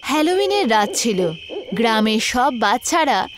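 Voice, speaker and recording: A woman's voice: on the high side, sing-song, moderate pace. A woman narrating a scripted horror story in a dramatic style.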